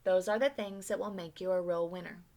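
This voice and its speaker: A woman doing a gentle, earnest storybook father. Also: on the low side, fairly even intonation, fairly quick, very noisy, natural voice. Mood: reassuring. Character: warm encouraging father.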